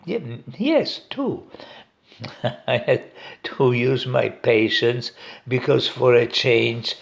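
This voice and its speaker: A man with a Polish accent, a native Polish speaker, gives an informal reflective talk as a meditation teacher. He speaks slowly and haltingly, with pauses and restarts and frequent chuckles, recorded at home on his own device. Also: mid-range voice, lively intonation, vocal fry, lo-fi sound. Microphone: recording device set up by himself.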